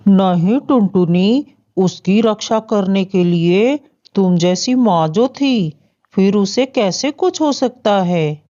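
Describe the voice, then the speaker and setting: A woman voicing a kind, wise father bird in a cartoon, soothing and gently reproving. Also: medium pitch, expressive, takes it slowly. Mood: reassuring. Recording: dull, muffled lo-fi audio.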